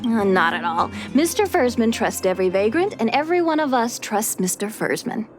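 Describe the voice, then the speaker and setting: An actress voicing an earnest, loyal female miner in a video game, calm and explanatory. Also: a little high, a little fast, faint music behind. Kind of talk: measured video game dialogue.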